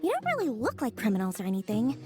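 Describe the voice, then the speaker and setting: High-pitched, sly little merchant girl. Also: huge pitch swings, fast, faint music behind. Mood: indignant, probing. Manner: theatrical, wheedling, smug salesmanship. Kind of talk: video game voice acting.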